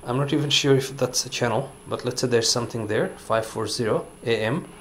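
An everyday man's voice of ordinary pitch talks through a gadget demo in a relaxed, rambling way, full of "you know" and "uh". He is off-screen in an indoor room, and a faint background hiss sits under his voice. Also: fairly quick. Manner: relaxed, filler-heavy, says "you know" often. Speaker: product reviewer. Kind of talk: casual hands-on product demonstration.

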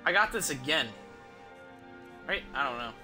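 A man in his thirties streams a game with a very bright, forward voice. He thinks aloud casually and swears freely. Game music plays behind him. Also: a little high, animated, normal speed. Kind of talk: casual gameplay commentary. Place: indoors at home, streaming setup.

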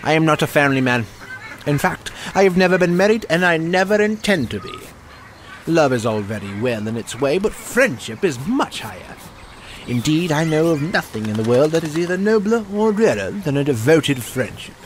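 Audio drama water rat, male actor: haughty, self-centred, lightish pitch, animated delivery. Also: moderate pace, slight background noise, lightly acted. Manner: haughty, dismissive.

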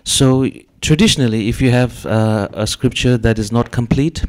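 A man's voice: mid-range voice, moderate pace, darkish tone. A man in his thirties, an artist speaking on a panel in a gallery, talking hesitantly with many fillers into a handheld mic. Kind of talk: panel discussion. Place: gallery room with audience.

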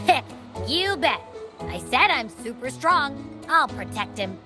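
A woman voicing a boastful, gluttonous, childlike little creature companion in a video game, excitable and protective. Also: sits high, takes it slowly, over music, heavily acted. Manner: boastful, whiny, exclamatory.

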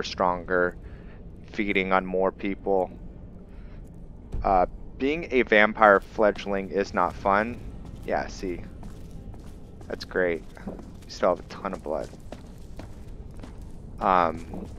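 A man's voice: sits low, a bit bright, a little hiss or hum. A man giving casual video game commentary with some roleplay, rambling as he thinks aloud. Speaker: let's play host.

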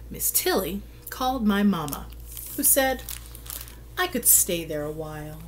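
A middle-aged woman reading a children's picture book aloud, with expressive, deliberate storytelling and drawn-out phrases. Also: medium pitch, a little hiss or hum, rough recording. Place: small plain room indoors.

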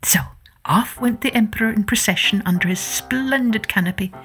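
Expressive woman telling a children's story. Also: fairly high pitch, moderate pace, breathy edge. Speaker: storytelling podcast host.

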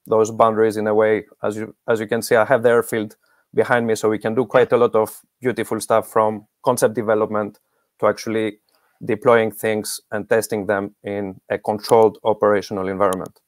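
Man, middle-aged, low pitch, dry recording, expert guest, explanatory answers, video call. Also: little pitch movement, brisk, no echo. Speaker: guest expert, academic researcher.

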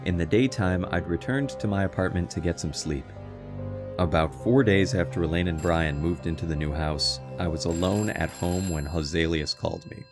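An actor as a haunted, earnest man telling his story in a radio horror drama. His voice is low and measured, ominous in tone, with music playing underneath. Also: lightly acted. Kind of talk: dramatic first-person radio narration.

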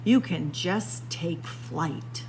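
A woman reads a picture book aloud for young children at a very unhurried pace. Her delivery is sing-song and animated, stretching phrases and leaving dramatic pauses. There is faint noise behind her voice. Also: on the low side. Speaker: library story time host. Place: indoors among library bookshelves.

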